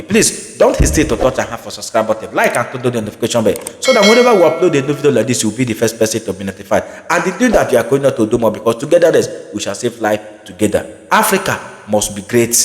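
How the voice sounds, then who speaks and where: A man hosting a commentary channel, pressing one rhetorical question after another in a preaching tone. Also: medium pitch. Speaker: channel host. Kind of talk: impassioned commentary. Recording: echoey, long reverb.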